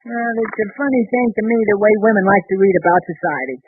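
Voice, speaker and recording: A man playing an excitable, bumbling young husband in a 1930s radio comedy, sputtering and hesitant. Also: high voice, fast talker, slight background noise. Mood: scornful, amused. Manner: sputtering, hesitant, easily flustered. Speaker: sitcom husband. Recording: muffled, narrow-band 1930s radio recording.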